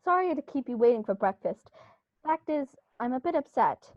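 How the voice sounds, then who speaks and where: A woman playing a flustered upper-class gentleman in a radio play, fussy and easily rattled. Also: high voice, animated, moderate pace, no room sound, lo-fi sound. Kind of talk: 1930s radio play acting.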